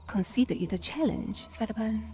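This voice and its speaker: A woman's voice: fairly high pitch, lively intonation, normal speed, darkish tone, a little hiss or hum. An actress playing a stern, commanding female partisan leader in a wartime video game. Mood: stern challenge. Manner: commanding, cool. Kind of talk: video game cutscene acting.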